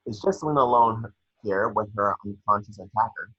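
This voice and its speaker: Husky man narrating stage directions. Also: fairly low pitch, brisk, sharp tone. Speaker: scene-description narrator. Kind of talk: scripted narration. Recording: echoey, muffled home microphone.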